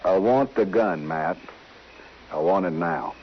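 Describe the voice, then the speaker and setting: A low-pitched cowboy, proud but troubled, a gunfighter who gave it up. He talks in plain, folksy rustic phrases. The sound is a muffled vintage radio western with some hiss. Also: animated, slow pace, exaggerated voice. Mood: afraid, stubborn. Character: troubled reformed gunfighter, rancher and father, proud, anxious. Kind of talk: old-time radio western acting. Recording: muffled, noisy old radio recording.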